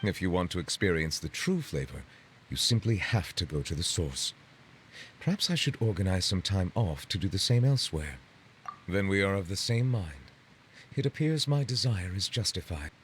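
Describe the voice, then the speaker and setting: An actor playing a courteous, solemn dignitary in a video game, speaking in formal, measured, ornate phrases. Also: male voice, sits low, animated, slight background noise. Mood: courteous, earnest. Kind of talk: video game dialogue acting.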